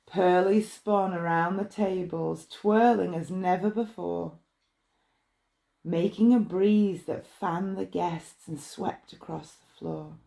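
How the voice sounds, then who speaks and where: A woman reading a rhyming bedtime story in a measured, expressive way. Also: on the low side, creaky. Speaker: storybook reader.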